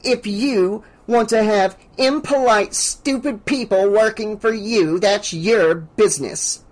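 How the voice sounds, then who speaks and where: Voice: mid-range voice, unhurried, fairly bright tone, slightly rough. A narrator putting on an imperious, spiteful older woman. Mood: indignant. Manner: imperious, theatrical, indignant. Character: spiteful, scheming, hammy old woman villain.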